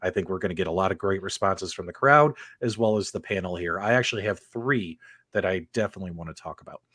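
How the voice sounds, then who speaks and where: A man's voice: sits low, quick pace, fairly bright tone, no room sound, studio quality. A man hosting a live online show, upbeat and teasing as he brings in callers. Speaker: host.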